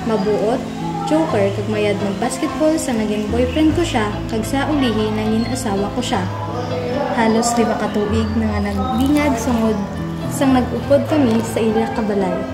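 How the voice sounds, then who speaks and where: A woman's voice: on the high side, moderate pace, close to an everyday voice. A woman playing a young wife who narrates her troubled marriage in a scripted radio drama, with a Western Visayan accent. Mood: sorrowful reflection. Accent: Western Visayan Philippine. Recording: echoey room under loud music. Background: loud music.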